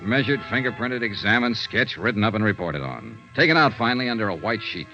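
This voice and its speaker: An American man playing a sharp hardboiled insurance investigator in 1950s radio drama, crisp and clipped. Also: fairly low pitch, heavily acted. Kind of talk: 1950s radio detective drama acting and narration. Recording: dull vintage radio recording with music.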